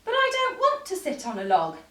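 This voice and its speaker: A woman's voice: very high-pitched, huge pitch swings, brisk, pushed and bright, roomy sound, far from the mic, pitched above the performer's own voice, exaggerated voice. A woman voicing a plaintive, curious little frog from a children's picture book, asking in eager, pleading questions.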